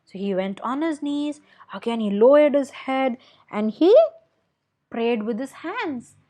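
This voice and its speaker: A woman's voice: fairly high pitch, expressive, a little slow, warm tone. A young woman with an Indian accent tells a children's story expressively, with questions and emphatic repeats. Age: young adult. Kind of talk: animated read-aloud storytelling for kids. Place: small indoor room at home.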